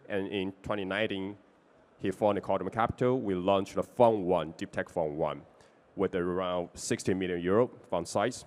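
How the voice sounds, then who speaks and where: Male investor, Taiwanese accent, lowish voice, giving a business pitch in non-native English. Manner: non-native English with small grammar slips. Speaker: venture capital investor presenting his fund.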